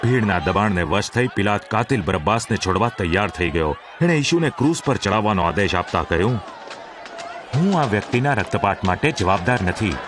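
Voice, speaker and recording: A man's voice: lowish voice, expressive, fairly quick, noisy background. A man narrating a scripted, dramatic Bible story as the narrator of an audio drama. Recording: music behind the narration.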